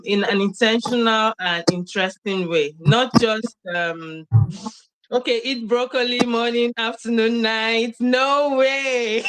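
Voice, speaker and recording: A woman's voice: fairly high pitch, heavy background noise. A young woman, chatting as an interview guest over a video call, laughing often and using many fillers. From Nigeria. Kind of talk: casual interview storytelling.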